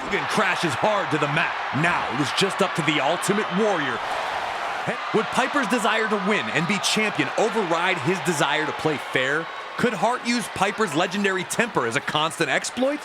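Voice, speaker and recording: A man's voice: high voice, expressive, normal speed. A man narrating wrestling matches as a commentator, in a dramatic sports documentary style with an emphatic, suspenseful delivery. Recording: loud crowd and arena noise behind. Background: roaring wrestling crowd, ring slams.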